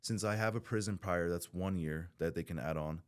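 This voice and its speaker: A man's voice: low-pitched, brisk, smooth. A man from Southern California speaking as a podcast guest, talking candidly and bluntly, swearing freely and restarting his sentences as he rambles.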